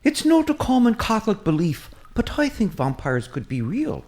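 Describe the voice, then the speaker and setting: Woman playing an excitable, chatty Irish priest. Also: mid-range voice, normal speed. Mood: rambling, curious. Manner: rambling, eager, easily distracted. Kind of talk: comic audio drama acting.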